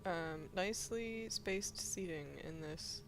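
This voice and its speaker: A woman's voice: lowish voice, takes it slowly, slight background noise. A woman giving casual commentary as she plays a video game, thinking aloud. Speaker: gaming video host.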